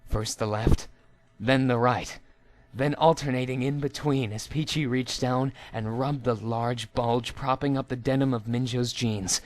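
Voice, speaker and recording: A man reading a story aloud as scripted narration, in a theatrical manner. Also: mid-range voice. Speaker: amateur story reader.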